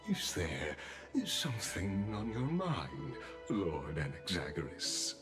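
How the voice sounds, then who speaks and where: A man's voice: low voice, big pitch swings, music in the background, exaggerated voice. A man playing a suave, inscrutable official in a video game, speaking with sly politeness and courtly, theatrical phrasing. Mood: sly courtesy. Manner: courtly, unhurried, smooth-tongued, theatrical. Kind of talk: dramatic video game voice acting.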